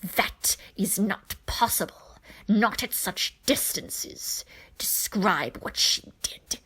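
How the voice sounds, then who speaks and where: A woman puts on a menacing alien witch for an audio story. She barks commands and threats in a husky voice that drops into hisses and rises into loud snarls. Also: mid-range voice, animated, slow, in a whisper, heavily acted, low-quality recording. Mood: cold, suspicious. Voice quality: hissing, snarling. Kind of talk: dramatized fan fiction reading.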